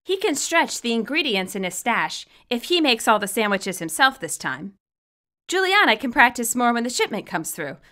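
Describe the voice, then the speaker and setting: A woman narrates fan fiction from a script in a high, bright voice. Her delivery is expressive, with lively swings in pitch. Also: moderate pace. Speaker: author reading own fan fiction.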